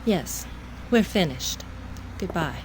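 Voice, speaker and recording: A woman's voice: fairly low pitch, expressive, takes it slowly, slight background noise, poor audio. An actress playing a proud, wounded young woman in an audio drama, speaking in a curt, tense way.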